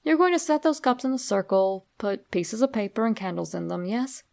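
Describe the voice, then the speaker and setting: A woman reading a scripted horror story straight to the listener in a dramatic, deliberate way. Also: fairly high pitch, expressive, vocal fry, a little mellow. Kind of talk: scripted creepypasta narration, addressed to the listener.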